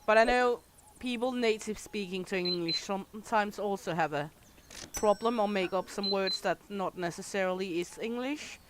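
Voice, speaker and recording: A woman's voice: medium pitch, moderate pace. A middle-aged woman speaks English with a thick Danish accent, her voice in a plain middle register. She chats candidly with her livestream audience as she plays, answering them as she goes. A faint hiss sits under the recording. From Denmark. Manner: chatty, candid, reads and answers chat aloud. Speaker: streamer. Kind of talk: casual livestream chat while gaming.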